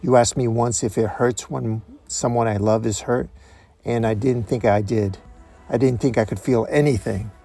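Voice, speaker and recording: Man acting aging male assassin, comic-book drama, rough fry, husky, anguished, vengeful, brooding. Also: medium pitch, fairly even intonation, normal speed, dark tone, soft background music. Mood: brooding grief.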